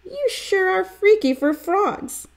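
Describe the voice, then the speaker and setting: Woman doing a teasing, higher-pitched child. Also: sing-song, takes it slowly, full character voice. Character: teasing young friends of a storybook boy. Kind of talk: children's storybook character reading.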